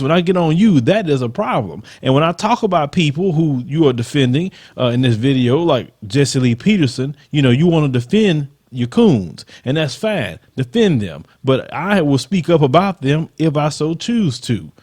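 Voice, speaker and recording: A man with a Southern US accent and a faint lisp takes on his detractors one comment at a time, combative and emphatic. His pitch rises and dips animatedly as he presses each point. The sound is dry and close, with no room around it. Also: darkish tone, dry recording. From Southern United States. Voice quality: slight lisp. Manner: combative, emphatic, reads comments aloud then rebuts. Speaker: channel host reading troll comments. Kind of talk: casual commentary.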